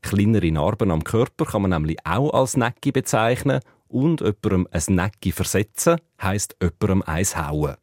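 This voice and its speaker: A man with a Zurich Swiss German accent, a dialect expert explaining a word's meaning in a measured radio segment. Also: low voice, lively intonation, a little mellow, no echo, clean studio sound. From Zurich, Switzerland.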